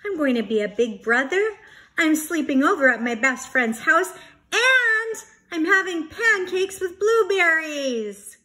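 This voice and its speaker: Woman as an eager, bright-voiced little boy bear. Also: very high voice, a little slow, pitched above the performer's own voice, exaggerated voice. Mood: excited. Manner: boastful, excited, emphatic. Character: eager, proud young boy bear cub, storybook.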